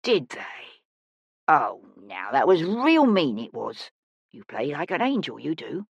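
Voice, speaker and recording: A woman's voice: low voice, sing-song, slow pace, creaky, slightly rough, no room sound, highs cut off, pitched below the performer's own voice, full character voice. A woman voicing a blind woman in her sixties, a folksy, feisty but kindly street musician in an audiobook. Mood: tender. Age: 60s. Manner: folksy, plain-spoken, feisty.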